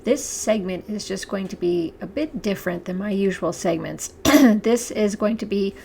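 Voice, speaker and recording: Hoarse, gravelly-voiced woman. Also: medium pitch, dark tone, a little hiss or hum. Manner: chatty, halting, with frequent restarts and laughs. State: hoarse, losing her voice, clearing her throat. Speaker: channel host. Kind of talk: casual talk to camera.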